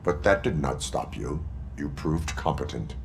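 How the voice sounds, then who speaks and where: Casting: robotic lawman, extremely low gravelly voice, deadpan, formal, game cutscene. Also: measured pace, slight background noise, heavily acted. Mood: stoic, flat. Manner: deadpan, clipped formal phrasing. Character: stoic cyborg police officer, heroic, formal and restrained. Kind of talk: video game cutscene acting.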